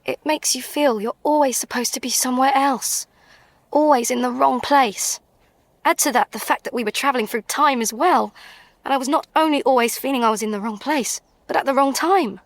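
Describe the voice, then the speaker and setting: An actress playing a surly, bored teenage daughter, petulant and scornful, in a radio comedy drama. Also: female voice, high voice, moderate pace, no echo. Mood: bitter.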